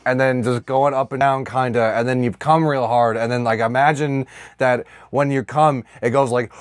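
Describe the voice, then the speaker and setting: A man with an average-pitched voice co-hosts a comedy podcast. He talks casually and bluntly, joking in a crude, profane way. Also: fairly even intonation, normal speed, slight background noise. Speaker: podcast co-host.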